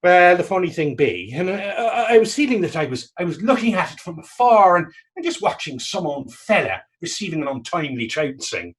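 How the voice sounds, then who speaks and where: Man acting a husky, animated fisherman. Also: sits high, heavily acted. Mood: bemused, animated. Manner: folksy, halting, storytelling in archaic Irish dialect. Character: battered Irish fisherman, pious self-mocking wise-arse dreamer. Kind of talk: readers theater dramatic dialogue.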